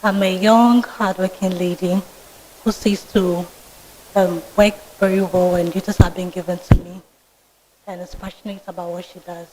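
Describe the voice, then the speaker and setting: A soft-spoken young woman in her twenties with a Ghanaian English accent, giving polite, formal answers as a student candidate in a vetting interview. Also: on the low side, level tone, normal speed, slight background noise, poor audio. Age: 20s. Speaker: student candidate being vetted. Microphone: microphone with feedback.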